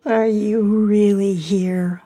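A woman's voice: medium pitch, fairly even intonation, takes it slowly, warm tone. An older woman in an audio drama playing a puzzled, kindly elderly widow who speaks in hesitant, wondering phrases. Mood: hushed wonder. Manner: hesitant, wondering short questions. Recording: faint music behind the voice.

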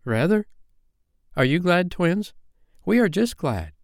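A man voicing excitable little boys in a bedtime storybook, eager, boisterous and childlike. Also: sits high, slow pace, no room sound, clean studio sound, voice pushed up, exaggerated voice.

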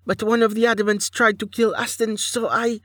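Man voicing a frightened young woman, high-pitched and halting. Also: flat delivery, fairly bright tone, no echo, clean studio sound. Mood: frightened, pleading. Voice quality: croaky, strained. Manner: halting, pleading, small-voiced. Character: frightened, wounded young woman mage with lost memory. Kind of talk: audiobook character acting.

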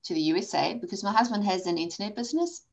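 A woman in her forties from South Africa, a guest in a conversational interview, telling her story candidly with quick asides. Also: medium pitch. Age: 40s. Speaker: podcast guest, coach and author.

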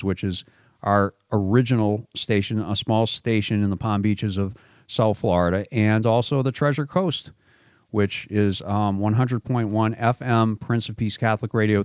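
Low, smooth-voiced male radio host. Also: darkish tone, no room sound, clean studio sound. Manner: interrupts to clarify, repeats words.

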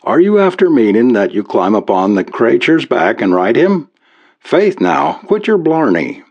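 A man's voice: mid-range voice, very animated, measured pace, a little mellow, muffled recording, voice pushed up. Man voicing a curious alien with lilting brogue. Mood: curious, amazed. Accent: Irish. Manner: breezy, inquisitive, folksy. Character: male alien visitor, curious, breezily confident, borrowed Irish brogue.

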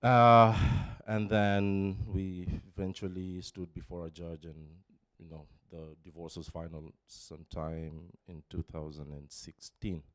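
Low-voiced man giving a halting testimony. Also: smooth, dry recording, clean studio sound. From Kenya. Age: 40s. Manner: halting, many fillers and restarts, emotional. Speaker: church member giving testimony. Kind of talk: personal testimony. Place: church. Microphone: handheld microphone.

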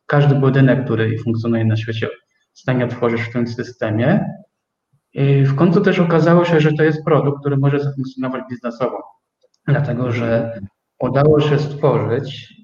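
A man explaining things as an interview guest, measured and searching for his words. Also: medium pitch, darkish tone. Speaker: interview guest, company co-owner. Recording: echoey, dull, distant from microphone.

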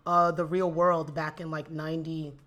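A woman's voice: deep voice, normal speed. Gossipy woman guest on a podcast. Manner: gossipy, animated storytelling. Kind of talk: casual podcast chat.

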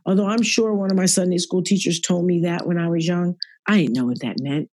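A middle-aged woman with a deep, raspy voice, a podcast guest speaking earnestly about her faith and growing up. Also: a little fast, darkish tone, no room sound, studio quality. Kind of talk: reflective podcast interview. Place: at work.

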